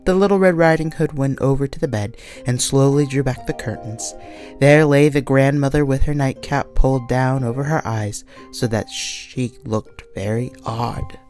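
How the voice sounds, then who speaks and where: A woman narrating a scripted children's story as an expressive read-along storyteller. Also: a bass voice, normal speed. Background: soft music.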